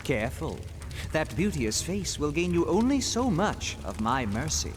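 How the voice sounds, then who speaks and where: Wildly swooping, gloating trickster god. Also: male voice, on the high side, very animated, normal speed. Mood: menacing. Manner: grandiose, archaic, mocking. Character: gloating, scheming male god of mischief. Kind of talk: theatrical video game villain acting. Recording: long hall reverb, faint background noise.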